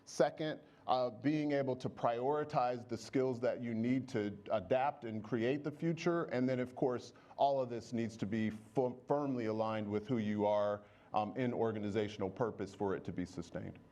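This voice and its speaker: A man's voice: mid-range voice, normal speed, mellow, muffled recording. A man, a guest at a stage conversation, laying out his points in a structured, orderly way. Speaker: guest speaker on diversity and inclusion. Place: stage at a conference.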